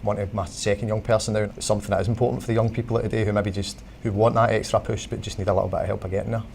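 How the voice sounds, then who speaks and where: A man, a mentor, asking his former mentee questions in a brisk manner. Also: fairly low pitch, flat delivery, creaky, a little mellow, a little hiss or hum.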